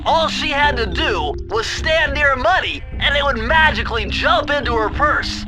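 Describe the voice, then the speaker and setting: A man's voice: extremely high pitch, animated, moderate pace. An actor playing a brainy, know-it-all male sidekick in a video game cutscene, talking in fussy, wordy explanations. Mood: dry briefing. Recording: game audio with music behind.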